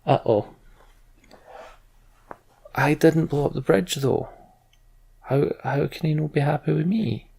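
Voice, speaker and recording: Very gravelly male let's play host. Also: a little high, takes it slowly, pauses often, darkish tone. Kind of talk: casual gameplay commentary.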